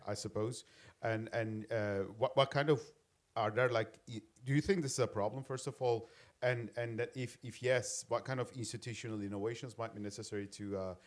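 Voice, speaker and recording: A man from Turkey, a senior lecturer asking a long audience question with many fillers and restarts. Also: on the low side.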